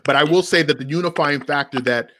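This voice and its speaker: A man's voice: on the high side, fast. A man co-hosting a podcast, explaining his views earnestly in a casual discussion. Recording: noisy background with clicks.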